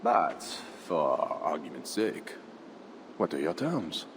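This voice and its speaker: Proud Frankish count, wildly swinging pitch. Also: male voice, big pitch swings, slight background noise, heavily acted. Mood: guarded, sardonic. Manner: formal, measured, pointed rhetorical questions. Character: proud, shrewd Frankish count, wary negotiator. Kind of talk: dramatic video game acting. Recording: reverberant hall, faint background ambience.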